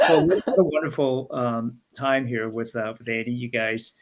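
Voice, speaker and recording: A man's voice: mid-range voice, fairly even intonation, moderate pace. A man hosting an interview, enthusiastic and full of praise, with frequent fillers and restarts. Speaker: interview host.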